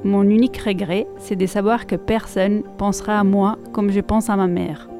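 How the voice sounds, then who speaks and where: A woman from Italy with an Italian accent, a podcast columnist reading a passage aloud and reflecting on it in a measured way. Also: mid-range voice, steady tone, music in the background.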